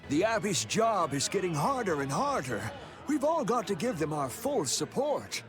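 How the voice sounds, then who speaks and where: A man's voice: high-pitched, big pitch swings, normal speed. A man voicing a proud, earnest townsman in a video game, emphatic and loyal. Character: proud, devout townsman loyal to his rulers. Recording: music behind the voice.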